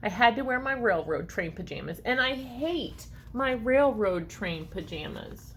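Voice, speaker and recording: A woman's voice: a little high, expressive, a little slow, noisy background. A woman voicing a grumpy, put-upon young boy in a storybook, complaining with whiny emphasis. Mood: disgusted.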